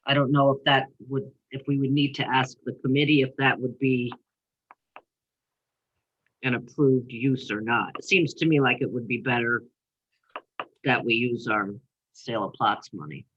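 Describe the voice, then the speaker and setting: A woman's voice: rumbling low, fairly even intonation, moderate pace, long pauses, husky. A woman chairing a public town meeting, firm and businesslike. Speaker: commission chair. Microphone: video call. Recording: muffled video-call audio.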